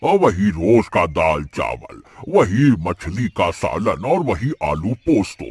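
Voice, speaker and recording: Guy doing grumbly older uncle from a cartoon, really low voice, swings up and down a lot. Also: big pitch swings, takes it slowly, a little mellow, husky, no room sound, heavily acted. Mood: grumbling. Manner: colloquial, complaining, emphatic. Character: grumbling older male regular diner, blunt but advising. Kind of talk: Hindi cartoon story voice acting. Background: background music.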